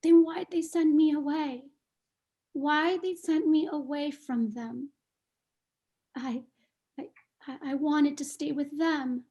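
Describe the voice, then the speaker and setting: A woman playing a frightened, abandoned little girl in a stage play reading, speaking in a halting, plaintive, repetitive way. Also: sits high, steady tone, dark tone, muffled recording. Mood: scared, grieving.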